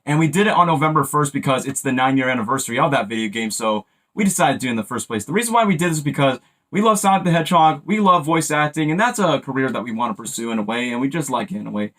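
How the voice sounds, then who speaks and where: A young man hosting a casual reaction video in an excitable, chatty manner. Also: mid-range voice, rapid-fire. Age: young adult. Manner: excitable, breaks into mimicked voices and shouts. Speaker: host, amateur voice actor.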